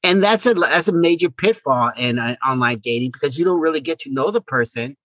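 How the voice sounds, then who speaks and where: A middle-aged man chatting casually as a podcast co-host, often halting and repeating his words. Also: on the high side, expressive, fairly bright tone, no room sound. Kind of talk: casual conversational chat.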